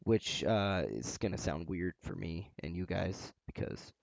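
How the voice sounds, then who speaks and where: A man with a loud voice talking through a game setup tutorial in a relaxed, rambling way, full of "uh" fillers. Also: low voice, a little slow, creaky, no room sound. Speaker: tutorial host. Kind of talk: casual screen-recorded game tutorial. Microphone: desk microphone near a loud keyboard. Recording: dull, slightly lo-fi home recording.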